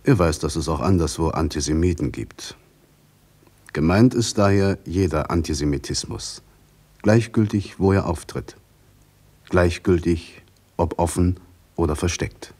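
A man introducing a radio play as its announcer, reading a script gravely and deliberately. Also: low voice, darkish tone.

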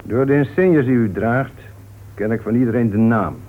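A man playing a composed police inspector in a radio play, courteous and unhurried as he insists on answers. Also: mid-range voice, close to an everyday voice. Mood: calm, firm. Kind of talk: Dutch radio play acting. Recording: noisy, phone-like old radio recording.

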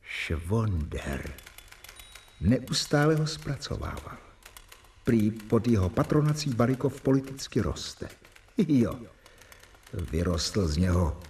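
Casting: brooding doctor-narrator in radio drama, lively intonation, a little off-mic. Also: male voice, fairly low pitch, pauses often, recorded from a distance, slight background noise. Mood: resentful. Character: troubled male doctor, assistant to a professor.

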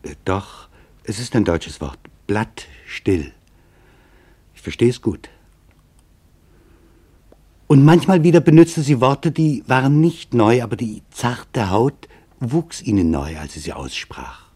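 A man playing a sombre reporter in a scripted radio play, narrating in a grave, measured, reflective way with a husky voice. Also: medium pitch, sing-song, mellow, exaggerated voice. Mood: grave, hushed. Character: sombre male reporter recounting a camp survivor's testimony.

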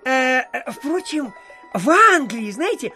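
A man's voice: very high voice, very animated, slow, pushed and bright, soft background music, heavily acted. An actor playing a garrulous, self-important provincial official who rambles through his stories with filler phrases, in an audio drama. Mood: flustered, excited. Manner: digressive, full of filler phrases. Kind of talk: theatrical audiobook radio play.

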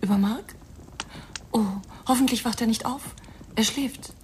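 A woman's voice: fairly high pitch, a little slow, a little mellow, natural voice. An actress playing a guarded, brittle wife and mother in a radio crime drama, speaking in short, questioning phrases. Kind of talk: 1990 German radio crime drama acting. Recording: radio play with background noise.

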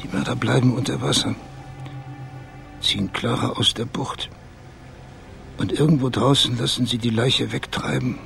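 An actor playing a sleuth in a German radio crime drama, with a gravelly voice and a terse, measured, matter-of-fact manner. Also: male voice, medium pitch, fairly even intonation, a bit bright, faint music behind. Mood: calm, grave. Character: low-voiced male sleuth calmly reconstructing a murder.

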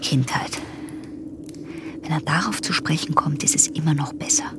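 An actress playing a young woman's secretive inner voice in a radio play, musing in hushed, creaky, clipped phrases. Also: sits low, moderate pace, whispered, natural voice. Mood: brooding, wary. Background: music.

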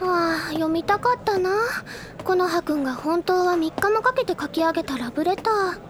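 A woman's voice: very high-pitched, moderate pace, background noise. An actress playing a dreamy, playful literary schoolgirl in an audio drama, wistful and theatrically sulky. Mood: wistful teasing. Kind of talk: anime-style audio drama acting.

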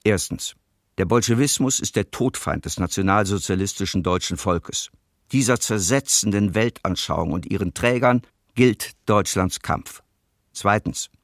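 Low, creaky, formal male document reader. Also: a little slow, no echo, studio quality. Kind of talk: scripted reading of official military directives.

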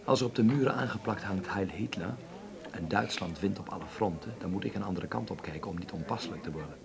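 An actor playing a proud, principled, sharp-tongued stage actor in a wartime Dutch radio drama, speaking theatrically. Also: male voice, low voice, quick pace, creaky, a crowd in the background. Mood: defiant, cutting. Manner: theatrical, sardonic, outspoken.

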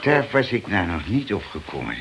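An actor playing a cheeky, evasive small-time crook in a radio drama, mock-polite and streetwise. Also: male voice, low-pitched, big pitch swings, moderate pace, fairly bright tone. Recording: dull, noisy old radio play recording.

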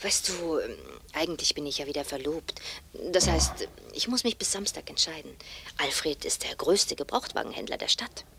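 Coquettish, animated sweetheart. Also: female voice, deep voice, moderate pace, slight background noise, exaggerated voice. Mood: breathy, calculating. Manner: coquettish, dramatic, sometimes breathy. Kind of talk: 1970s German radio play acting.

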